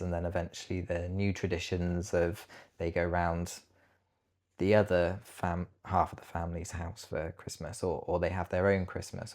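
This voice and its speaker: A British man with a low-pitched voice talks as a guest in an interview. He sounds reflective, feeling his way through personal memories with some hesitation. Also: a little mellow. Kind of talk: personal interview, recounting family loss.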